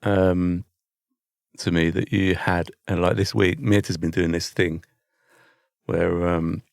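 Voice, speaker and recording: A man's voice: low-pitched, little pitch movement, slow, creaky, no room sound. British guy chatting on a podcast, kinda teasing, asks lots of questions, dry close sound. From Britain. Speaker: podcast co-host. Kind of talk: casual chat.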